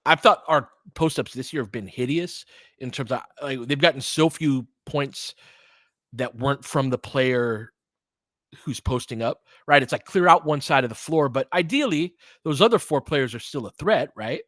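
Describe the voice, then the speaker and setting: Man, mid-pitched voice, fan play-by-play host, conversational, thinking aloud, dry close recording. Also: expressive, normal speed, a bit bright, dry recording. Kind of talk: casual live basketball commentary and analysis.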